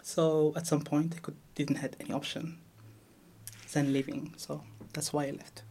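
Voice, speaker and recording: A man telling an interviewer why he had to flee, in a halting way that restarts sentences often. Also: a little high, level tone, gravelly, a little mellow, slight background noise. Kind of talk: personal testimony.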